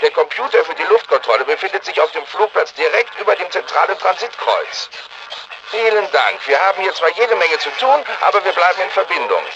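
Over a muffled, noisy radio comes a male scientist in a video game. His fairly high voice gives a formal, precise briefing. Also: sing-song, fairly quick. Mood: earnest briefing. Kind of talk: German video game dub voice lines.

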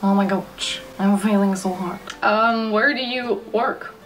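A woman's voice: medium pitch, far from the mic, faint music behind. A young German woman in her twenties with a German accent, chatting casually in a bedroom vlog and sounding out foreign words. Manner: chatty, self-mocking, sounds out words slowly. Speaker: YouTuber. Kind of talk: casual language-learning vlog. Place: bedroom, indoors. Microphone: studio mic off camera.

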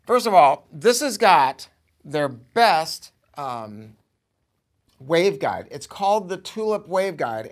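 An American man in his sixties giving an enthusiastic, informal product review to camera, with an emphatic salesman's delivery. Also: fairly high pitch. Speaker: audio store owner presenting a product review. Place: showroom, indoors.

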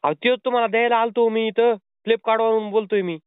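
A man's voice: very high-pitched, fairly even intonation, moderate pace, clear, resonant tone, no room sound. A man making a prank phone call, posing as a delivery man, insistent and pleading. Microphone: phone line. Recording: tinny phone call recording.

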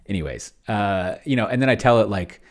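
Deep-voiced man, rambling and hesitant. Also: expressive, fairly quick. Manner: rambling, hesitant, thinking aloud. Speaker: solo tabletop roleplayer, video host. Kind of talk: casual screen-share commentary. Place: indoors at a computer, screen recording.

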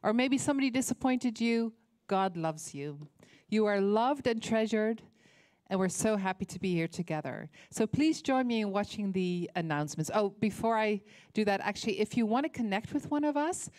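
A woman's voice: mid-range voice, lively intonation, normal speed, darkish tone, no echo. A woman hosting a church service, speaking effusively and welcomingly from an auditorium stage into a handheld microphone. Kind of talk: welcome address to congregation.

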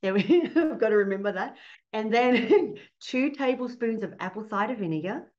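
A middle-aged woman with an Australian accent talks over a dull, lo-fi video call line from her home office. She speaks earnestly and warmly as a guest, often laughing as she explains things. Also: fairly high pitch, lively intonation, moderate pace. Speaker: fertility doctor guest. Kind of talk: casual interview on a video call. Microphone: laptop webcam mic on a video call.

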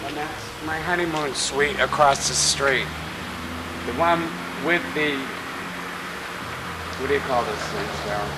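A man giving a joking deadpan walking tour of a town street at night, recorded on an old camcorder. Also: medium pitch, unhurried, long pauses. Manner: deadpan mock-tour patter, teasing. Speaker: comic home-video host. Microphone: camcorder mic. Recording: noisy 1990s camcorder audio.

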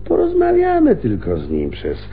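An actor playing a cunning, sardonic veteran homicide lieutenant in a radio drama, insinuating and pausing theatrically. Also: male voice, on the high side, wildly swooping pitch, vocal fry, husky, heavily acted. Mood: sly, menacing. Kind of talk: Polish radio drama acting. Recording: muffled phone-like radio recording, hum.

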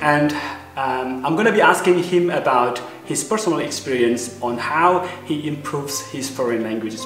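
A man's voice: medium pitch, a bit bright. A man in his forties from Indonesia, hosting his channel with earnest, slightly formal explanations. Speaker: host. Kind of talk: talking to camera, then interviewing. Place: outdoors in a park, then indoors on a sofa.

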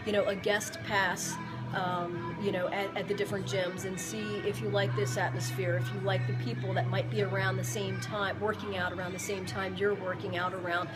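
A woman's voice: mid-range voice, poor audio. A woman in her forties who owns a gym, giving casual fitness advice to the camera in a rambling way, full of fillers and restarts, recorded indoors in her gym. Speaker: gym owner and fitness coach. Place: indoor gym among weight machines. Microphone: camera or phone at close range.

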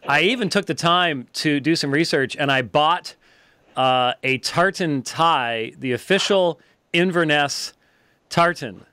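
A man's voice: on the high side, a little slow, bright, forceful tone, clean tone, no echo. A man in his fifties with an American accent, hosting a casual conversational interview. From United States. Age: 50s. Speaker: interviewer, host. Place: small book-lined indoor studio. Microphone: close studio microphone.

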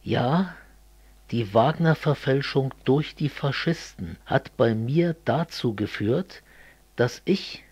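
A middle-aged man from East Germany speaking in a scripted political dialogue, didactic and deliberate. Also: mid-range voice, lively intonation, a little mellow, breathy edge, dry recording.

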